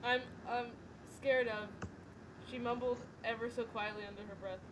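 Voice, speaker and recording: Woman, fairly high voice, casual story read-aloud, character dialogue, clearly noisy home recording. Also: fairly even intonation, moderate pace, fairly bright tone. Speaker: reader in a group fanfiction reading.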